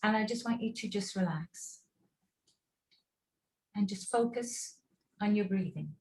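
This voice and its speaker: A woman leading a guided relaxation and visualization over a video call, speaking in slow, soothing, paused instructions. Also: mid-range voice, darkish tone.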